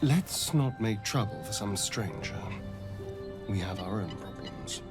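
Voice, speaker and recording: An actor playing a sardonic, haunted vampire spawn in a video game, with an arch, dramatic, drawling delivery. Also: male voice, fairly low pitch, very animated, measured pace. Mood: puzzled, wary. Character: flamboyant, sardonic male vampire spawn, haunted by his master. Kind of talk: theatrical video game voice acting. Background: background music.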